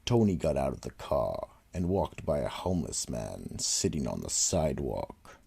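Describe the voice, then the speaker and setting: A man narrating a radio drama in a slow, dramatic way. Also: extremely low pitch, very animated, gravelly, mellow, husky.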